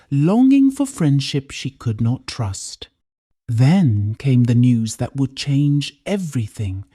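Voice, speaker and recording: A woman's voice: deep voice, mellow, dry recording, studio quality. A woman storyteller reading a scripted folktale as a dramatic narration.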